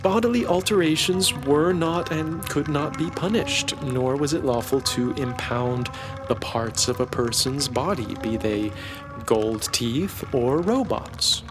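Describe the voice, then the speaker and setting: A man's voice: a little high, expressive, measured pace. Male story narrator over music. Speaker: audiobook narrator. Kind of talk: scripted literary narration of a satirical sci-fi story.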